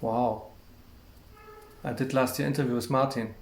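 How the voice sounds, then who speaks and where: A man's voice: medium pitch, fairly even intonation, moderate pace. A man with a German accent interviews film people, effusive and enthusiastic, with slightly hesitant non-native English phrasing. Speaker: magazine interviewer. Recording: echoey room with clear background noise.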